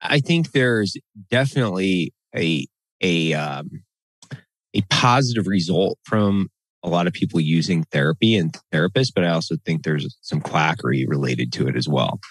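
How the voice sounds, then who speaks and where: A man co-hosting a podcast in casual, profane banter, blunt and animated, talking into a boom mic in a studio room. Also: normal speed, slightly rough, no echo. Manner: blunt, animated, swears freely. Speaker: podcast co-host. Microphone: studio mic on boom arm.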